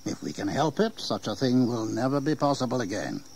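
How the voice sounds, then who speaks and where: A man playing a confident, evasive colonial English official in an audio history drama, speaking in measured declamation. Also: mid-range voice, sing-song, creaky, darkish tone. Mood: assured. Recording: 1980s audio drama with background noise.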